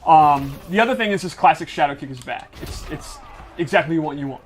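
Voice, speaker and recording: A man's voice: on the high side, animated, fairly quick, faint music behind. A man who works as a game developer, giving a casual, enthusiastic walkthrough of gameplay mechanics. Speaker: game developer demonstrating a fighting game character. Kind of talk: casual explanatory gameplay commentary.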